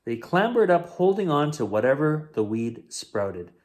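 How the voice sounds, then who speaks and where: A man reading a children's picture book aloud as a bedtime story host, in an expressive, dramatic way. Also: a little high, measured pace.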